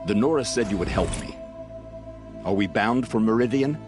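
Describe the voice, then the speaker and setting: An actor playing a grim, world-weary, principled soldier in a video game, speaking gravely and solemnly. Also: male voice, on the low side, moderate pace, natural voice. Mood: resigned. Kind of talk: video game dramatic acting. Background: music.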